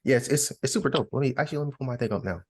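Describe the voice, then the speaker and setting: A man's voice: medium pitch, racing, dry recording. A man chatting casually as a podcast co-host in an informal, slangy way. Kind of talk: casual chat.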